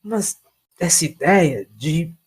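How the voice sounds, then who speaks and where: A man voicing a pompous, dry gentleman doctor in an audio story, courteous with a sombre edge. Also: fairly high pitch, animated, takes it slowly, breathy edge, pitched above the performer's own voice, exaggerated voice. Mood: polite, sombre. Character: pompous, dry British gentleman doctor, sympathetic yet sober.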